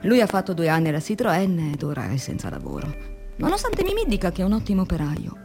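An actress playing an anguished, exhausted factory worker confiding her diary in a dramatic radio monologue that shifts between hushed and vehement delivery. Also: on the low side, wildly swooping pitch, fast, vocal fry, soft background music, full character voice. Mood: tender, sad.

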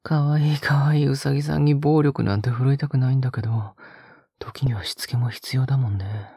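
A man playing a soft-spoken, possessive captor in an audio drama, coaxing in a hushed, breathy whisper. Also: medium pitch, steady tone, fairly quick, no echo, clean studio sound. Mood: menacing, soft. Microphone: close, dry studio mic.

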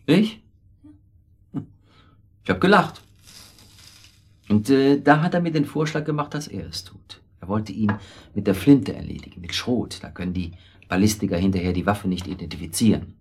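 Husky, low-voiced weak husband. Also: male voice, lively intonation, normal speed, long pauses, darkish tone. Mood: defensive, confessing. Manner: hesitant, rambling, self-justifying. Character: weak, confessing middle-aged husband, accomplice to murder. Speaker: radio drama actor. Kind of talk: naturalistic German radio drama acting.

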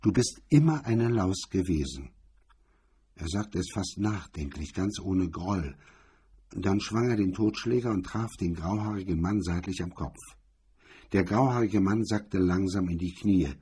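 A man with a husky, rough-edged voice reading hardboiled crime fiction as a scripted narration. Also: sits low, a little slow, warm tone.

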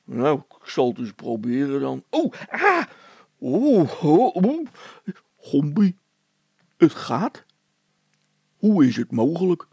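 A man's voice: a little high, wildly swooping pitch, slow pace, long pauses, darkish tone, breathy edge, dry recording, heavily acted. A man voicing a gloomy, whining village policeman in a storybook, plaintive, grumbling and hesitant. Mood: groaning.

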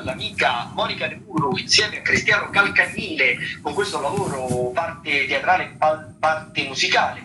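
A man's voice: fairly high pitch, low-quality recording. A man hosting a radio show, talking through theatre listings in a loose, semi-improvised way, often hesitating and searching for words. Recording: radio broadcast with music underneath. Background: music.

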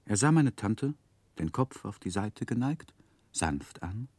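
An actor playing a grown man narrating his own life story in a radio drama, with measured, wry delivery. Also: lowish voice, sing-song, a little mellow, breathy edge, dry recording, exaggerated voice. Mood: wry, descriptive. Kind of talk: scripted literary narration in 1960s radio drama.

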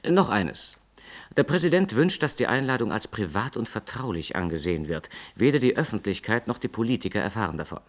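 An actor playing a dutiful, discreet presidential aide, formal and polite, in a 1960s German radio drama. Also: male voice, fairly low pitch, very animated, fairly quick.

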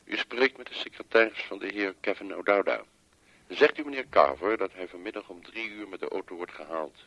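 A man's voice: sits low, fairly quick, fairly bright tone, no echo, highs cut off. A man acting a formal, curt secretary to a rich businessman in a Dutch radio drama.

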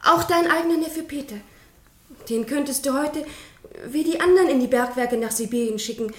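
An actress playing a passionate, blunt, defiant young daughter in a radio play. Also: female voice, high-pitched, moderate pace, roomy sound, natural voice. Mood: defiant, indignant. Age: young adult. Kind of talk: German radio play acting.

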